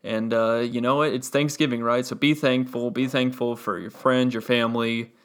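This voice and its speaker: A young man with a slightly gravelly voice hosts a solo sports podcast. He talks the game over in a loose, analytical way, thinking out loud and dropping in fillers as he goes. His pitch sits right in the middle range. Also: steady tone, normal speed. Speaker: podcast host.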